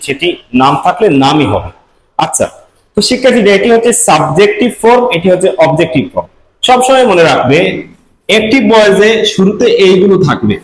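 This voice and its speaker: A man's voice: on the high side, a little hiss or hum, poor audio. A man teaching students in an explanatory, step-by-step manner, repeating key points as he goes. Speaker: English grammar teacher. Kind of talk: explanatory lesson addressed to students.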